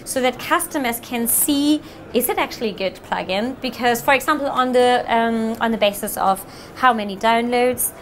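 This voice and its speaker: A woman in her thirties presenting software at a meetup, answering audience questions in a friendly, fluent way with frequent ums. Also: on the high side, moderate pace.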